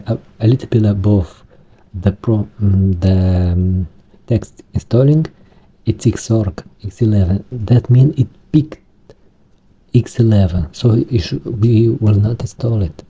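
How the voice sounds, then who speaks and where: A man with a Romanian accent, speaking English as a second language, talking through a computer setup in a halting way with many restarts. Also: on the low side, mellow, slightly rough. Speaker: tech vlogger. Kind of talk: screen-recorded tutorial.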